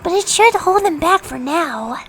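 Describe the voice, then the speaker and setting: Woman voicing a very high-pitched young fox inventor. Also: expressive, measured pace, poor audio. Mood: earnest. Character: brainy, earnest young boy fox inventor. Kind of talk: cartoon audio drama acting.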